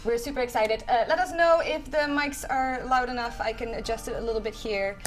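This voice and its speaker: Excitable female stream host, high-pitched and rambling, backing music. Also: a little fast, rough recording. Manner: excitable, giggly, rambling with restarts. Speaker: community manager hosting a game livestream. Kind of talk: bubbly livestream chat.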